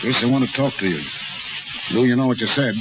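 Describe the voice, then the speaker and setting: Actor doing gruff slangy middle-aged dad, bitter, 1930s radio drama, noisy and far from the mic. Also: male voice, medium pitch, fairly quick, natural voice. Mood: wounded. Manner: blunt, slangy, emotional. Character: gruff, possessive middle-aged carnival father, bitter and pleading. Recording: muffled, distant, noisy 1930s radio recording.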